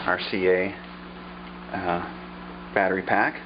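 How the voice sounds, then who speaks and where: Low-voiced man demonstrating an old radio. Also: steady tone, lo-fi sound, background noise. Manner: unhurried, thinking aloud with fillers. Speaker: vlogger showing a vintage radio. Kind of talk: casual show-and-tell demonstration.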